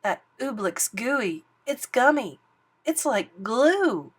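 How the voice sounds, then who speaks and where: An earnest young page boy in a storybook, worried and urgent, his voice pitched high as he calls out warnings, with a woman putting him on. He sounds anxious even when he speaks quietly. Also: takes it slowly.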